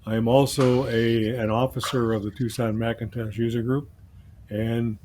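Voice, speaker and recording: A man's voice: mid-range voice, flat delivery, normal speed, a little mellow, a little hiss or hum. A man in his seventies speaking as a podcast panelist, wry and self-mocking. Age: 70s. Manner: wry, joking about his age. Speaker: panelist, user group officer. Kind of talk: casual panel chat.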